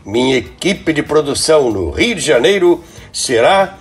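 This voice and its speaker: A man's voice: a little high, sing-song, measured pace, slightly rough. An older Brazilian man presenting scripted narration in a dramatic, emphatic storytelling manner.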